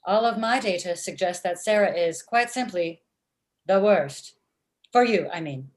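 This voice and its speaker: A woman in her thirties playing a blunt, literal-minded, murderous office robot in a comedy table read, with deadpan, formal phrasing. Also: fairly low pitch, measured pace, close to an everyday voice. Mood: deadpan, judgmental. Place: indoor room at home, video call. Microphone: video call.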